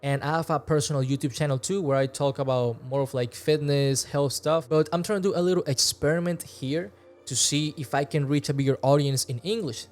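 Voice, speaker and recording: A man's voice: fairly high pitch, a little fast, soft background music. Young Venezuelan man, earnest vlog chat. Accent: Venezuelan Spanish. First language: Spanish. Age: 20s. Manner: relaxed, earnest, gestures with hands while talking. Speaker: fitness vlogger. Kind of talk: casual talk to camera. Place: small room indoors. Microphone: large studio mic close in front.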